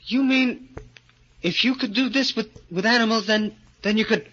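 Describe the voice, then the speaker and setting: A man's voice: high-pitched, bright, forceful tone, dry recording. A man voicing a timid, bitter adult male dwarf who narrates his own story and stammers when pleading, in a 1940s radio drama style. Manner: halting, stammering, pleading in dialogue. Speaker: narrator and lead character. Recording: dull old radio recording with music.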